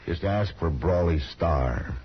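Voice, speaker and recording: An American actor playing a cocky, menacing young gunslinger in a 1950s radio Western, cool and insolent. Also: male voice, deep voice, animated, slow pace. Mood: taunting. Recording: dull, hissy 1950s radio recording.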